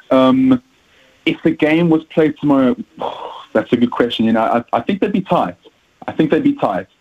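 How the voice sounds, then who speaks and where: A man's voice: mid-range voice, husky. A South African man, a rugby journalist, answering interview questions with frequent hesitations and repeated words. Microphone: phone. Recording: tinny phone line.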